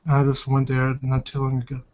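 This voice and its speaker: A man's voice: medium pitch, monotone, brisk, a little mellow. A man making improvised in-character conversation as a player in a roleplaying game. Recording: dull, lo-fi online voice chat.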